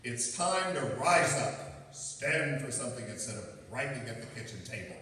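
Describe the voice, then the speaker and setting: A man's voice: medium pitch, lively intonation, normal speed, bright, forceful tone, slight background noise. A man playing an earnest, blustering husband with political ambitions in a stage radio play. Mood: earnest, resolute. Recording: very echoey hall, far from mic.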